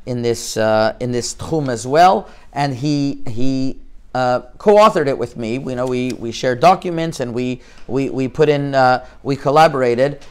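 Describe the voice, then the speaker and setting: A middle-aged rabbi from Canada gives an informal talk to a community audience. His voice is smooth and clear, sitting at an ordinary pitch, and he stumbles and restarts often while dropping Hebrew terms into his English. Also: a little mellow. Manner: halting, many restarts, sprinkled with Hebrew terms. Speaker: rabbi giving a lecture. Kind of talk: informal Torah lecture.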